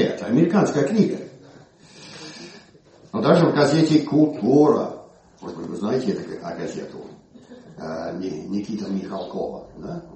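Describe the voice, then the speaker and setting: An older man, an English speaker, presenting his book in Russian with a halting manner, recovering from a cold. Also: medium pitch, dark tone. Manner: halting, many hesitations and restarts. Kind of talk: informal presentation speech. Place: museum hall. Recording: echoey hall, from far off.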